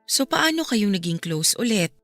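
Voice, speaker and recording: A woman's voice: medium pitch, sing-song, normal speed, fairly bright tone. An actress playing a caring young woman with a Filipino accent, earnest and reflective in radio drama dialogue. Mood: curious. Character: thoughtful, caring young woman asking about troubled friend. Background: music under the voice.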